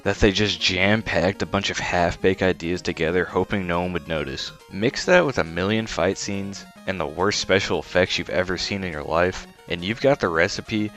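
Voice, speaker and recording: A man's voice: deep voice, normal speed, few pauses, fairly bright tone. A man reading scripted review narration as a video host, blunt, disparaging and informal. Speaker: video essay host and film critic. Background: faint music.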